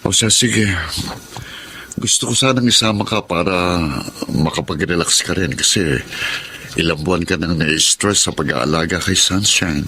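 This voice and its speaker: A man's voice: low-pitched, moderate pace, long pauses, pushed and bright, a little hiss or hum. An actor playing an affectionate, coaxing male partner in a Filipino radio drama, with a Filipino accent. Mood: coaxing, fond. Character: affectionate, coaxing husband or boyfriend.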